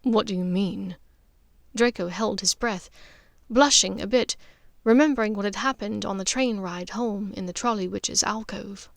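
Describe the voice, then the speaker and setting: A woman's voice: mid-range voice, moderate pace, gravelly, no room sound, clean studio sound. A woman reading scripted fiction as an audiobook narrator.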